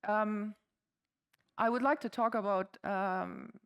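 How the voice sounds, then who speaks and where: A woman's voice: mid-range voice, fairly even intonation, slow, clear, resonant tone, dull audio. A woman in her forties from Germany, with a German accent, giving a friendly, chatty conference talk to a live audience, with frequent ums and uhs. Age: 40s. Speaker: conference speaker. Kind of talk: conference presentation.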